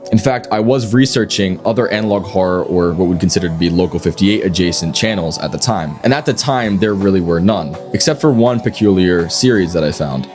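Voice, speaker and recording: A man's voice: sits low, fairly quick, hardly any pauses. A man narrating a scripted documentary-style video essay. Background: background music.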